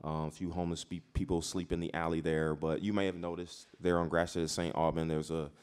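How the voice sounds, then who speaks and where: Very deep-voiced man on a panel. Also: flat delivery, a little mellow, clear, resonant tone. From Detroit. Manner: halting, many fillers and repeats. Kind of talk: panel discussion.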